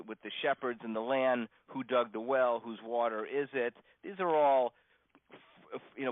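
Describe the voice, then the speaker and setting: A man speaks as a rabbi telling stories in a relaxed, unscripted class. His delivery halts and doubles back, with stammered restarts and pauses. The sound is a dull, lo-fi conference line, very dry with no room around it. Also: medium pitch, no room sound. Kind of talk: informal religious lesson, unscripted storytelling. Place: teaching over a conference phone call.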